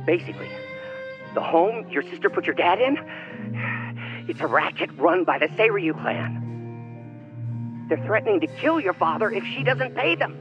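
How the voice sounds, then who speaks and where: An actor playing an anxious, apologetic cabaret boss speaking over the phone in a video game drama. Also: male voice, sits high, expressive, normal speed, pauses often, lo-fi sound, over music. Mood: guilty.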